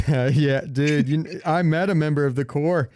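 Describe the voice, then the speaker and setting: A man's voice: fairly high pitch, unhurried, a little mellow, dry recording, clean studio sound. A man co-hosting a comedy podcast, chatting casually with some swearing and reading bits aloud in a joking way. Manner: profane, joking. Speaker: podcast co-host.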